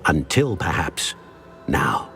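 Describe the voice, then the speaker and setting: An actor playing a grave, courteous elderly British wizard in a video game, speaking in a formal, measured and solemn way. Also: male voice, very deep voice, sing-song, gravelly, fairly bright tone, faint music behind, full character voice. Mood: stately, welcoming. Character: grave, courteous elderly wizard professor, keeper of ancient secrets. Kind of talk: dramatic video game acting.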